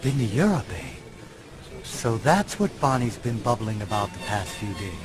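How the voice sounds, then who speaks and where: Actor as stuffy male occult scholar, medium pitch, wordy, dry, formal, video game dialogue, prominent music. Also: very animated, moderate pace, close to an everyday voice. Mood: curious. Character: dry, stuffy man obsessed with the supernatural.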